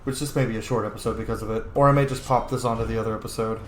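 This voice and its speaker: A man's voice: on the low side, fairly even intonation, racing. A man giving casual, off-the-cuff commentary as he records his video game playthrough, muttering to himself. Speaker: gamer recording a roleplay playthrough. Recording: roomy echo, faint background noise.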